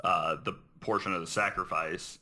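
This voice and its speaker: Male podcast host. Sharp, very bright voice on the low side, casual and conversational, feeling his way through a story. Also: steady tone, normal speed. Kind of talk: casual conspiracy-theory podcast chat.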